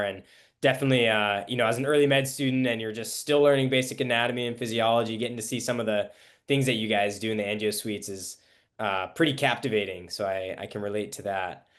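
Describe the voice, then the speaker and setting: A man's voice: lowish voice, steady tone, quick pace, fairly bright tone. A young man interviewing a guest on a podcast in a conversational style, speaking as the host.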